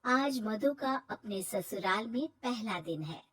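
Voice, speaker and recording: Woman narrating a cartoon story, calm and slow, bright voice. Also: medium pitch, husky.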